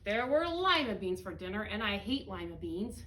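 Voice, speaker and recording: A woman voicing a grumpy, aggrieved young boy in a picture book, with emphatic complaining and drawn-out refrains. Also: medium pitch, very animated, a bit bright. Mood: sulky. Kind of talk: expressive picture-book read-aloud. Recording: clear room noise.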